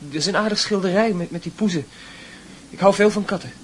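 Radio play role: polite, curious schoolboy played by a man, slightly high voice, tentative questions, clearly noisy recording. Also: quick pace, lightly acted. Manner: hesitant, questioning.

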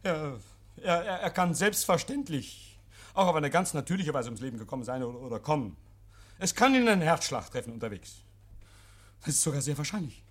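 A man's voice: fairly high pitch, very animated, a little fast. An actor in a 1950s radio play playing a nervous, paranoid man hiding guilt, agitated and stammering. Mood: flustered, insistent. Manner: agitated, stammering, insistent, hears imaginary noises. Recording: vintage 1950s radio recording.

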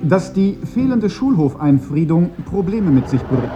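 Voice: mid-range voice, big pitch swings, measured pace, highs cut off, over loud music. A performer playing an officious, long-winded school official chairing a meeting in formal bureaucratic language, in a radio play.

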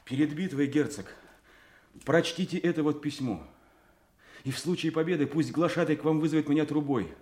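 A man's voice: on the high side, a little fast, a little mellow, close to an everyday voice. An actor playing a humble, loyal and brave young man in disguise in a classical audio drama, with earnest declamatory delivery. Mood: humble, resolute.

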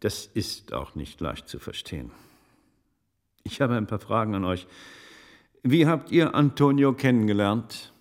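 An actor playing a shrewd, composed scholarly investigator in a German radio drama, speaking deliberately. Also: male voice, fairly low pitch, lively intonation. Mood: grave, measured. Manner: measured, deliberate, probing questions. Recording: reverberant studio radio play sound.

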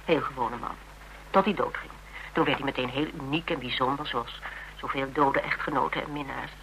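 A cool, practical woman in a radio play. Her voice is bright and clear-cut, her delivery conversational, wry and measured as she counsels. The older recording carries some background noise. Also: extremely low pitch, steady tone, poor audio. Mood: calm, matter-of-fact. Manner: matter-of-fact, wry, measured. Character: Tera, cool practical worldly woman giving frank advice. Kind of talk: Dutch radio drama acting, conversational.

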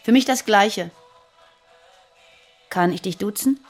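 A woman's voice: mid-range voice, takes it slowly, soft background music. An actress playing a guarded, earnest woman on the run in a naturalistic radio play. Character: guarded, frightened fugitive terrorist woman living under false names. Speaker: radio drama actor.

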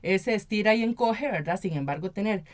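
A woman with a Costa Rican accent talks as an interview guest. Her pitch is average for a woman. She explains things conversationally, often adding small tag questions as she goes. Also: lively intonation, a little fast. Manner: explanatory, often tags statements with "¿verdad?". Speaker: interview guest, local council member and activist.

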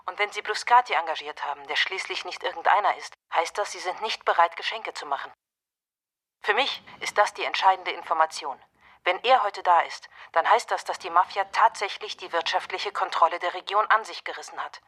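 Bright, incisive police commissioner. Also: female voice, fairly low pitch, a little fast, husky. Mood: businesslike. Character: businesslike, candid female police commissioner. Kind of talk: German radio crime drama dialogue.

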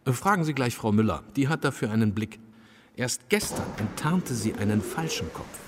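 A man's voice: mid-range voice, animated, moderate pace, close to an everyday voice. An actor playing an odd, officious male museum attendant in a radio play, stating absurd things matter-of-factly. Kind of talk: German radio play dialogue. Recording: long hall reverb, clearly noisy background.

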